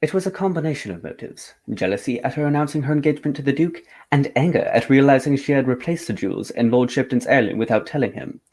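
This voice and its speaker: A man playing a confident, methodical gentleman detective in an old-time radio drama, with a formal, theatrical delivery. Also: mid-range voice, animated, fast, hardly any pauses, close to an everyday voice. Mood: reflective.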